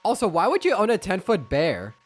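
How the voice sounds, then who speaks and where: A man's voice: sits high, huge pitch swings, a little fast, soft background music. A young man giving casual reaction commentary, with wry, joking asides. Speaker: reaction video host.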